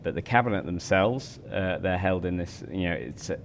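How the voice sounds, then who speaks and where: A man's voice: low voice, lively intonation, moderate pace, vocal fry, slight background noise. A man in his forties explaining a product in a hotel demo room, stumbling over repeated words and fillers. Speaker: speaker company representative.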